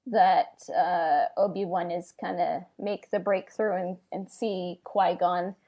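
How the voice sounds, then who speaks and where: A woman co-hosting a podcast in casual, halting chat peppered with filler words. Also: fairly low pitch, fairly even intonation, mellow, muffled recording. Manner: halting, heavy use of "like". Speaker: podcast co-host. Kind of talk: casual chat about game lore.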